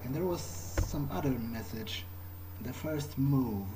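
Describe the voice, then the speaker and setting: A man's voice: medium pitch, expressive, takes it slowly, noisy background. A man improvising aloud in character during a game playthrough, speaking in a hesitant, thoughtful, musing way. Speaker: gamer playing in character. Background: game music.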